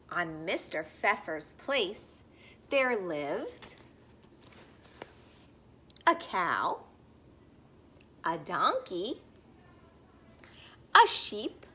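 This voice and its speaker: A woman reading a children's picture book aloud expressively, in a sing-song way, pausing to put questions to young listeners. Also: fairly high pitch, sharp tone.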